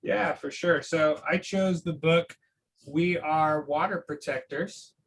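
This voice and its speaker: A man's voice: fairly high pitch, fairly even intonation, slow, a little hiss or hum, poor audio. A man speaking as an educator, casually explaining things and often checking in with the listener. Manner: conversational, often seeking agreement with tag questions. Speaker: science educator, guest reader.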